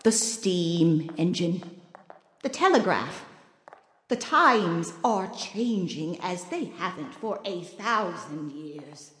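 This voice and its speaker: An actress playing a shrewd, worldly nun in an audio drama, speaking in a measured, wry and patronizing manner. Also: female voice, on the low side, expressive, fairly bright tone. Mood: gently coaxing. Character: shrewd, worldly older nun counselling a girl. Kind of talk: full-cast audio drama acting. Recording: long echoing reverb of a large room.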